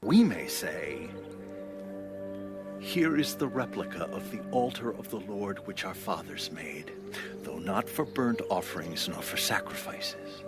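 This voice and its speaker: A man's voice: low voice, very animated, measured pace. A man narrating scripture as a dramatic audio Bible reading, with music behind him. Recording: background music under narration.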